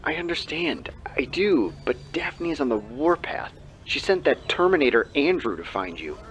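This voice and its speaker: A reproachful worried helper in an audio drama, voice moves up and down a lot. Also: male voice, on the high side, very animated, normal speed, fairly bright tone, rough recording. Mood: reproachful, urgent.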